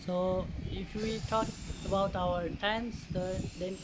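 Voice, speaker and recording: A woman in her early twenties from Nepal with a Nepali accent, explaining things to visitors informally in halting, non-native English. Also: medium pitch, steady tone. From Nepal, near the Indian border. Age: early 20s. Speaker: orphanage staff member. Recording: noisy amateur video sound.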